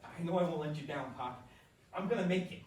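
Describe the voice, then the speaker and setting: A man's voice: fairly high pitch, brisk, darkish tone, natural voice. A man playing an earnest, self-doubting young baseball player in a staged play reading. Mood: hopeful, earnest. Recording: roomy, distant stage recording with clear noise.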